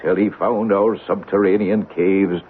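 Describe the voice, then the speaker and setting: A man's voice: sits low, fairly even intonation, measured pace. Folksy Irish barman, tinny radio. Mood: grave, ominous. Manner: folksy, rambling storytelling, lilting. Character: talkative old Irish village barman and postmaster, superstitious, folksy. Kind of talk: old-time radio drama acting. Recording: tinny, noisy old radio broadcast.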